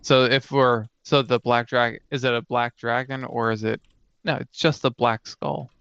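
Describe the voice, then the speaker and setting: A man's voice: mid-range voice, fairly even intonation, moderate pace, vocal fry, fairly bright tone, smooth, no room sound. A man co-hosting a casual chat and brainstorming tabletop fantasy lore, on a dull, lo-fi close mic. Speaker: podcast co-host.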